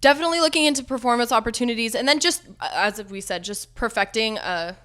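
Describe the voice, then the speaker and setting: A young woman singer-songwriter with a low, slightly raspy speaking voice, chatting casually and giggly in an interview, full of "like" and quoted asides. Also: lively intonation, fast talker, a bit bright. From Los Angeles area. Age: young adult.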